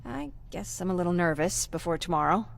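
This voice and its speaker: A woman playing a gentle, wistful young survivor with a creaky voice in a video game. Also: on the low side, lively intonation, a little fast, slight background noise. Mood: hesitant, protective. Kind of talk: video game dialogue acting.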